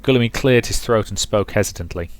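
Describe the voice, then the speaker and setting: A man's voice: lowish voice, fairly quick. A man commentating on and reading aloud from a visual novel as a let's play host.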